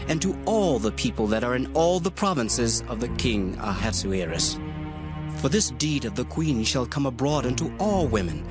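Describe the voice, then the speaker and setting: Guy doing a serious old-world prince giving counsel, really lively pitch, music under it. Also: close to an everyday voice. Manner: formal, measured counsel. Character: Persian prince advising the king, grave. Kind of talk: dramatized scripture reading.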